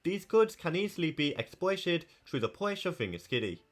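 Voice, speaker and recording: Cork-accented man narrating over soft music. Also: fairly high pitch, very animated. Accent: Cork Irish. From Ireland, County Cork. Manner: brisk, informative delivery with long spoken lists. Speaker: geography YouTuber. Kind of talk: scripted educational narration.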